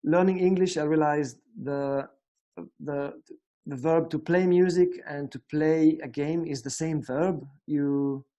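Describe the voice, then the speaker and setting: A man's voice: fairly high pitch, fairly even intonation, takes it slowly, dark tone, lo-fi sound. A young man from Italy with an Italian accent, teaching guitar in a casual spoken lesson, hesitant with lots of fillers and restarts.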